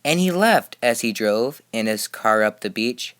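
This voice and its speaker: A man's voice: mid-range voice, animated, a little slow, a bit bright. A man reading a rhyming children's story aloud with emphatic, rhythmic expression. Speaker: storybook reader.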